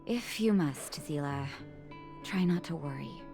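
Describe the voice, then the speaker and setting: Low, creaky-voiced gentle healer. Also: female voice, expressive, heavily acted. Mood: gentle, worried. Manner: soothing, unhurried. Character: gentle, caring female healer, calm peacemaker. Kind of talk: video game character dialogue. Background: guitar music.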